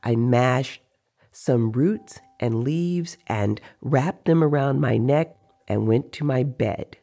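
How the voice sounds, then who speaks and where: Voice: mid-range voice, takes it slowly, gravelly, warm tone, faint music behind. A reader voicing a teasing male sorcerer who tells tales of his dreams in an audio story. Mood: storytelling.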